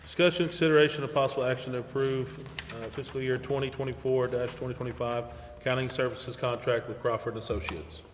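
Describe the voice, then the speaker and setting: A man's voice: medium pitch, fairly even intonation, fast talker, hardly any pauses. A man chairing a city council meeting, formally reading out agenda items and procedure. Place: large indoor council chamber. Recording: echoey hall, audible reverb, faint noise.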